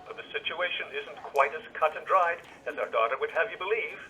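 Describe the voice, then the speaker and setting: A man's voice: on the high side, brisk, bright, forceful tone. An actor playing a wry, fond middle-aged husband and father in a naturalistic radio play, heard through a telephone filter. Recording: dull, band-limited telephone line.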